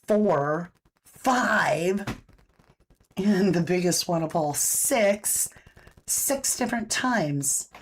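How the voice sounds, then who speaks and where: Woman, true crime livestream host, big voice, slow pace, somewhat low pitch, casual chat. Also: slightly rough, low-quality recording. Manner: chatty, greets viewers by name; says she has a big voice. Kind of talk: casual live-stream commentary. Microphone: own microphone, pulled back after being too loud.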